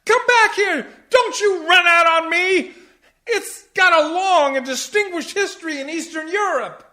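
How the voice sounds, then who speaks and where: A man's voice: extremely high pitch, a little slow, bright, forceful tone, full character voice. An actor playing a flustered, blustering, middle-aged jazz-musician father in a comic radio play, with a melodramatic, stammering delivery. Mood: panicked.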